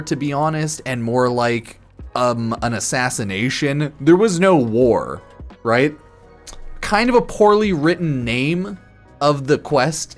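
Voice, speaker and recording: A man streaming casual, rambling commentary on a game, loose and halting in an informal, slangy, slightly tipsy way. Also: fairly high pitch. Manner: informal, crude slang and swearing. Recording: music behind the voice. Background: background music.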